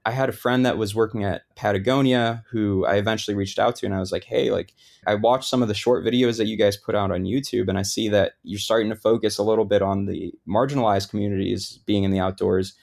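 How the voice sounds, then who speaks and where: A man's voice: on the low side, fast, creaky. A man of Lebanese origin, a thru-hiker guest, talking casually in an interview with many "like" fillers. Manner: relaxed, lots of "like" fillers.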